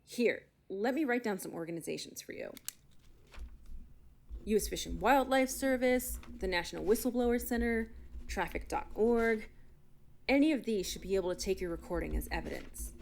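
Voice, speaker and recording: An actress playing a brisk, skeptical but helpful animal expert, speaking matter-of-factly in an audio drama. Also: female voice, mid-range voice, animated, creaky, slight background noise. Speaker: outside expert being interviewed.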